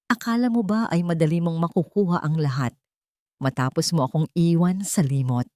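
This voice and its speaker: A woman's voice: on the low side, moderate pace, dark tone, no echo, studio quality, close to an everyday voice. Woman acting menacing, resentful villain brother. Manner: taunting, menacing.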